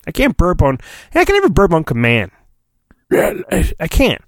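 A man's voice: a little high, wildly swooping pitch, moderate pace, dry recording, studio quality. A man hosting a podcast, doing a comedic dramatic storybook reading in a hammy way, breaking off for joking, profane asides. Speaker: podcast host.

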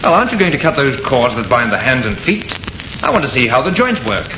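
A man's voice: mid-range voice, big pitch swings, over music, lightly acted. Man acting a confident artist, muffled old radio. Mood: brisk, impatient. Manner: brisk, assured, theatrical. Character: confident, scoffing male artist, enthusiastic and unafraid. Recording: muffled, echoey old radio recording, heavy noise.

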